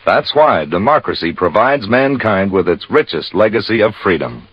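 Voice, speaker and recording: A man's voice: lowish voice, animated, normal speed, a little hiss or hum. A man announcing in a formal, solemn, declamatory 1950s radio style. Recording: dull vintage radio recording.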